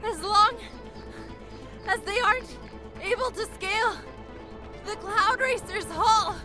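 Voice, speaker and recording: A woman voicing a small, bold female adventurer in a fantasy audio story, with urgent, shouted delivery. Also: very high-pitched, slow. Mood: urgent, insistent. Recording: music behind the voice.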